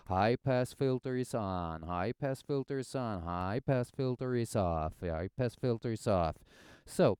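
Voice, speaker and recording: A man's voice: mid-range voice, darkish tone, clear, resonant tone, no room sound, studio quality. A young man with a Swedish accent, his first language Swedish, casually narrating a home microphone test with lots of fillers and restarts. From Sweden. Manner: rambling, many fillers and restarts. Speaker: home studio hobbyist testing microphones. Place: home recording setup. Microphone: headset and studio condenser microphone.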